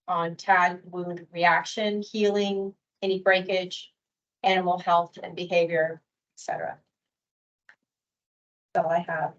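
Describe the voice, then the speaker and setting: A woman giving a measured, detailed presentation on permit rules at a workshop. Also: on the low side, dull audio. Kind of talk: prepared presentation.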